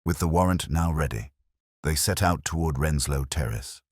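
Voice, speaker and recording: A man's voice: a bass voice, normal speed, breathy edge, no echo, clean studio sound. A man narrating a scripted mystery story as an audiobook reader.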